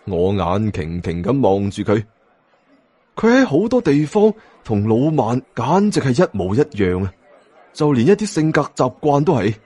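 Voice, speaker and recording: A man with a Cantonese accent narrating an audiobook in a dramatic, expressive storytelling style. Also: mid-range voice, moderate pace, husky. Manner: expressive, acts out dialogue. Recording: music bed behind narration. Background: background music.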